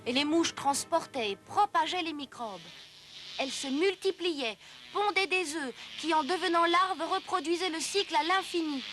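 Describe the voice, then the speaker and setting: A woman narrates an educational history cartoon in a high voice. She tells it like a scripted story with a teaching tone, over clearly audible music. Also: moderate pace. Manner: storytelling, didactic.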